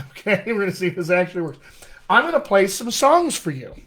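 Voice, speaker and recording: A middle-aged American man, a dialect coach, teaching a live online class in an animated, friendly way, often calling his viewers "my friends.". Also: high-pitched, brisk. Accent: American English. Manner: animated, addresses viewers as "my friends", chuckles at own asides. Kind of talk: live-streamed teaching session.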